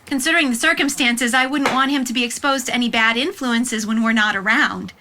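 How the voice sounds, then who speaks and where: An actress playing an anxious, overprotective middle-aged aunt in an audio drama, careful and apologetic in manner. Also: female voice, a little high, fairly quick, noisy background, lightly acted. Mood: apologetic, flustered. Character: anxious, overprotective, well-meaning aunt hosting dinner.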